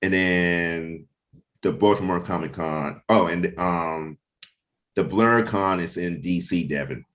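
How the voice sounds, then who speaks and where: A man's voice: sits low, slow pace, a bit bright. A man talking casually and informally as he leads a team meeting of comic book creators, often checking in with his listeners. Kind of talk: casual chat, planning and anecdotes.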